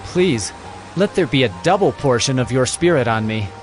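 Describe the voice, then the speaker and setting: A man's voice: mid-range voice, expressive, moderate pace, lightly acted. A man playing a solemn, devoted prophet of ancient Israel in a dramatized scripture production, declaiming with fervor and deliberation. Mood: pleading. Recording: background music under the voice.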